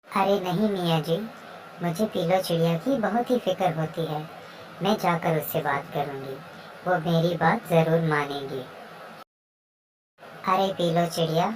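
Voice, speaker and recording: A woman acts out a caring, worried mother-hen of a lady pigeon in a kids' cartoon. The voice sits a little low, with a clear crowd-like bed of sound behind it. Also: lightly acted, rough recording. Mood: worried, pleading. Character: kind, worried female pigeon, caring wife and neighbour. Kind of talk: children's cartoon story dialogue.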